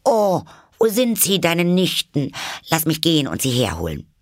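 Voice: medium pitch, sing-song, a little slow, fairly bright tone, breathy edge, no room sound, clean studio sound, voice pushed up, exaggerated voice. A reader voicing a curious, polite and eager little girl in a storybook, earnest and exclaiming. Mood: excited.

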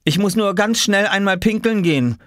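A man voicing an excitable, bossy, impatient little boy in a children's storybook, exclaiming and scolding urgently. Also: sits high, normal speed, dry recording, studio quality, pitched above the performer's own voice. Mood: urgent, desperate.

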